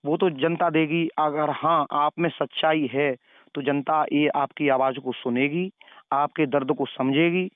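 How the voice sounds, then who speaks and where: A man's voice: on the high side, steady tone, measured pace, dry recording. A man talking on a phone call in a moralizing, preachy way, advising and repeating his phrases for emphasis. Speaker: caller questioning and advising the other party. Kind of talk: phone conversation. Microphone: telephone. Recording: narrow tinny phone-call recording.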